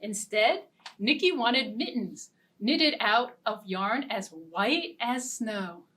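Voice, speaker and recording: A woman librarian leading a children's storytime, singing simple repeated verses and reading a picture-book story in a gentle, expressive way. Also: a little high, slow, recorded from a distance, slight background noise. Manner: gentle, sing-song and expressive. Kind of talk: children's storytime with songs and ukulele.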